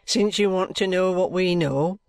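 A man's voice: high voice, measured pace, dry recording, pitched above the performer's own voice. A man voicing a hard, bitter former servant woman who talks bluntly and with scorn. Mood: scornful.

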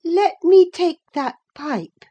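Lady reader doing high pitched headstrong young girl from an old novel, emotional n pleading, really insistent. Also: expressive, slow, mellow, exaggerated voice. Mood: coaxing, insistent. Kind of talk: audiobook character acting.

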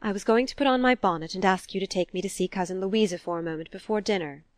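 A woman's voice: medium pitch, quick pace, dry recording, clean studio sound, natural voice. A woman reading a storybook as a proper, disapproving older society mother, genteel and insistent. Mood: coaxing.

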